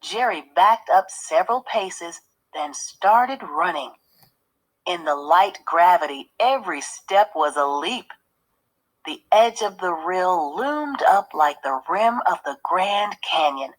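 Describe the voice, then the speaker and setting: A woman reading a children's story aloud in an expressive narration style. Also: fairly low pitch, slow, poor audio. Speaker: storybook reader.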